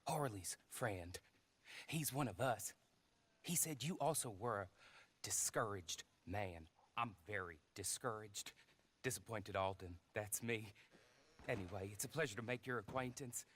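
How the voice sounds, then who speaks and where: An actor playing a polite, smooth-talking, gloomy station clerk in a western video game, speaking in a wordy, mock-courteous way. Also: male voice, lowish voice, unhurried, fairly bright tone, slight background noise. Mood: downcast, courteous.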